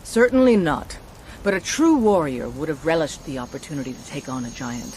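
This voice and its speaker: A woman's voice: deep voice, animated, brisk, darkish tone, a little hiss or hum, rough recording. A woman voicing a brusque, proud mercenary warrior in a fantasy video game, curt and haughty. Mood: haughty, challenging. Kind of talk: video game character acting.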